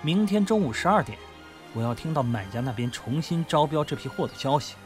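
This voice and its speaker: A male actor as a crude gangster kidnapper in an audio drama, mocking and threatening. His delivery swings widely in pitch and sits fairly high for a man. Some music plays behind him. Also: expressive, a little fast, natural voice. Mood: mocking menace. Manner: taunting, foul-mouthed.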